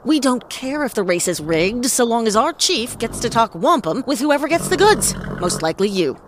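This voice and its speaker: A woman's voice: a little high, big pitch swings, noisy background. A woman playing a wisecracking, cocky hardboiled detective in a comedic period noir audio play, slangy and sardonic. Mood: brisk, dealing. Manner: slangy, sardonic, quick with quips.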